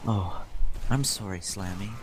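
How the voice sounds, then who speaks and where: A man's voice: deep voice, unhurried. A man voicing a flustered, coy young male gamer in a romantic fan fiction reading, hesitant in his delivery. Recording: noisy group voice-chat stream.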